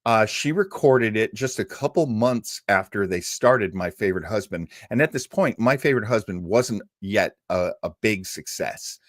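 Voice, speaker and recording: Theatrical man hosting, swooping lively delivery. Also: lowish voice, moderate pace, few pauses, no echo, studio quality. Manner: theatrical, jokey asides. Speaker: show host. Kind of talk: casual live commentary introducing old radio.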